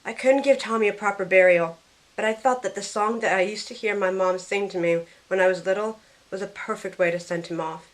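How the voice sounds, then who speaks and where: A woman performs a young shipwreck survivor in a fan-made audio drama. She tells her story in the first person, earnest and grieving, at a middle pitch. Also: steady tone, brisk, lightly acted, poor audio. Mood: sorrowful. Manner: earnest storytelling, tearful in dialogue.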